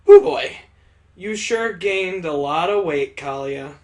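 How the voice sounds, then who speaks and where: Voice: mid-range voice, expressive, slow pace, a little mellow. Good-humored, sheepish dad played by a game streamer. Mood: teasing. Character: good-humored, weary, apologetic father.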